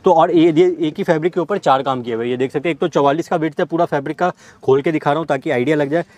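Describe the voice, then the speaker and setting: A young man showing fabric stock on a warehouse floor, reeling off items in rapid salesman patter. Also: fairly high pitch, darkish tone, dry recording. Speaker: fabric seller. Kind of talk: product showcase walkthrough.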